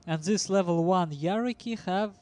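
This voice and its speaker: A man with a Russian accent commentates a strategy game match in a relaxed, halting way. His voice sits a little high for a man, and he fills his pauses with ums and uhs and breaks into small laughs. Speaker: esports commentator.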